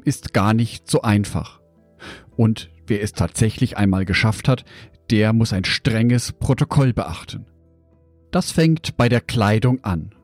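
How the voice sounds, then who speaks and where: Male devotional host, unhurried and reflective, mid pitch, close dry recording with faint music. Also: a little mellow, dry recording. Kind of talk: scripted devotional narration.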